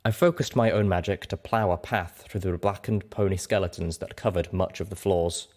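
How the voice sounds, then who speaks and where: A man reading fiction aloud as an audiobook narrator, in an animated storytelling style. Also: low-pitched, moderate pace. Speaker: audiobook reader.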